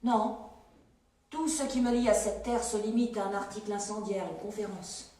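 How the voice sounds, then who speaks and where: A woman giving a measured, earnest poetry recitation. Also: medium pitch, fairly even intonation, warm tone. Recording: echoey and distant, faint ambience.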